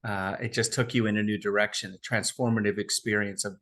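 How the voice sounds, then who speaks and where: Low-voiced man hosting an interview. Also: little pitch movement, a little fast, fairly bright tone. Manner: hesitant, frequent fillers and restarts. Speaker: interview host. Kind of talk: remote interview.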